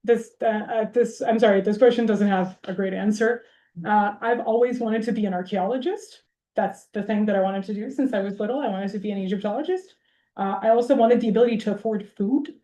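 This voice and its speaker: Mellow-voiced woman in an echoey hall. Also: mid-range voice, a little fast. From Belgrade, Serbia. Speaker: academic researcher giving a public talk. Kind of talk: informal Q&A after a public lecture. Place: university lecture hall. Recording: roomy lecture hall acoustics.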